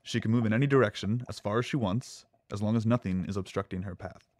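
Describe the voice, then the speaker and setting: Man playing an earnest, low-voiced, nervous lab assistant. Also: quick pace, darkish tone, no echo, lightly acted. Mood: patient, gentle. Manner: hesitant, stammering, earnest. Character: nervous, earnest, devoted male lab assistant to a mad scientist. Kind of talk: audio drama acting.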